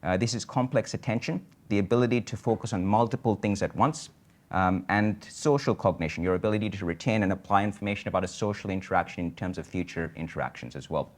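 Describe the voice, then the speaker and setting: A man giving a conference lecture on a medical topic, explaining in a measured way with frequent filler sounds. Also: lowish voice.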